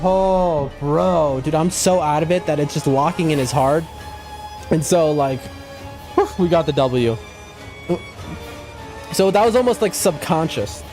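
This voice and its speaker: A young man streaming a game, shouting during fights and rambling to his chat between them. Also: fairly high pitch. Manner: excitable, loud outbursts during fights; rambling, many fillers and restarts when chatting. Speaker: gaming streamer. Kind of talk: live gameplay commentary and chat talk.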